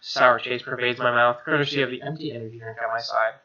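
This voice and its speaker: A man's voice: medium pitch, steady tone, fast, bright, forceful tone, highs cut off. A man streamer reading a first-person visual novel aloud as narration. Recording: music behind the voice.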